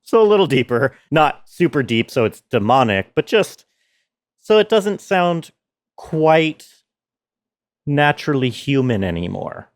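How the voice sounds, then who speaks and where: A man walks listeners through audio production in a casual, explanatory way. He takes his time, with a medium-pitched voice. The recording is close and dry, with very little room sound. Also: big pitch swings, a little slow, no echo. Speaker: sound designer presenting his own work. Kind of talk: casual tutorial walkthrough.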